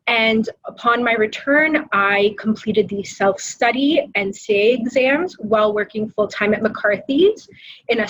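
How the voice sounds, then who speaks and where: A woman's voice: fairly high pitch, a bit bright. A woman with a Canadian accent introducing herself in a conversational way as a webinar panelist. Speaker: panelist, articling student. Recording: dull webinar audio, clear background noise.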